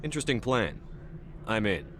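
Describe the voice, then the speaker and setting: Low-voiced, blunt military commander. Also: male voice, big pitch swings, normal speed, background noise. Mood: wary, direct. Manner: direct, terse, firm. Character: blunt, no-nonsense male military commander hero.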